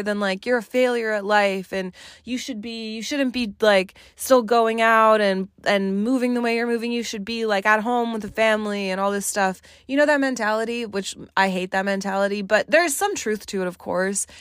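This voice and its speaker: A woman's voice: mid-range voice, dry recording, clean studio sound. A woman in her late twenties hosting her own podcast, talking alone in a candid, blunt way, swearing freely and saying "like" often. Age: late 20s. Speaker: podcast host. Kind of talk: solo podcast monologue.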